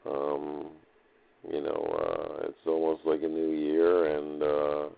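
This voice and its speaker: Male diarist, monotone and full of vocal fry, drifting through halting, casual unscripted thoughts on a muffled lo-fi recorder. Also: extremely low pitch. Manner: hesitant, repetitive, filler-heavy, loosely drifting. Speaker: audio diarist. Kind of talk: rambling unscripted audio diary.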